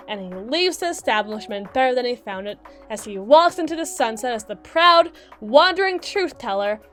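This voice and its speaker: Woman acting a high-voiced, condescending mermaid lawyer over music. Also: close to an everyday voice. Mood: sardonic, lecturing. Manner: articulate, pointed, lecturing with deliberate dramatic pauses. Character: brisk, condescending mermaid lawyer, dry-witted and blunt. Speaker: audio roleplay performer. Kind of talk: ASMR roleplay monologue, scripted. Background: background music.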